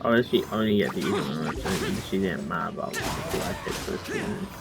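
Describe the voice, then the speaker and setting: A man giving casual, loose gameplay commentary in a rambling, halting way. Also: sits low, huge pitch swings, creaky, over loud music.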